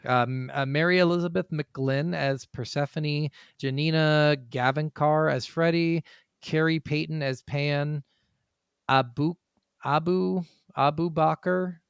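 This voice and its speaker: Male podcast co-host, slightly high and smooth, casual and rambling, dry recording. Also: steady tone, slow, no echo. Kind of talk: casual podcast chat reading from notes.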